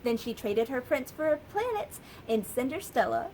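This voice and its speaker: An American woman, a children's librarian, telling a story and sharing books for kids in a lively, sing-song way. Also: high-pitched, normal speed, a little hiss or hum, poor audio.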